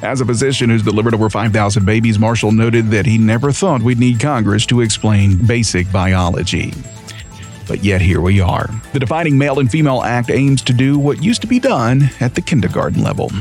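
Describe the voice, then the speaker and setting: A man's voice: lowish voice, animated, a little fast, darkish tone, faint music behind. A man reading a short scripted news segment in a polished, wry manner. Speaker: news break announcer.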